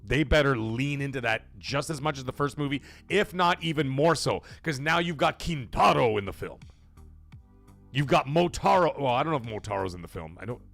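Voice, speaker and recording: A man hosting a casual gaming live stream, rambling excitedly with fillers and restarts, greeting chat viewers and swearing casually. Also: medium pitch, moderate pace, gravelly, faint music behind. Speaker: livestream podcast host.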